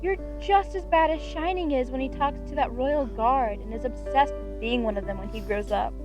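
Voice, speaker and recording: A woman's voice: high voice, moderate pace, lightly acted. A woman voicing an affectionate, teasing pony mother in a cartoon fan-fiction reading. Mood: fond teasing. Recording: music under the voice.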